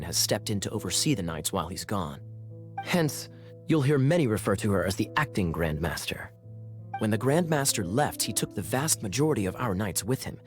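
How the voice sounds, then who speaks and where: A man voicing a suave, teasing cavalry captain in a video game, smooth and theatrical. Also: lowish voice.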